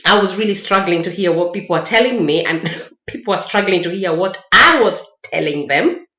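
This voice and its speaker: A woman's voice: lowish voice, animated, a little fast, sharp tone. A woman with a Kenyan accent telling personal stories in a casual, chatty vlog style. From Mombasa, Kenya. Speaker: vlogger sharing personal experiences. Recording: roomy echo, dull lo-fi sound.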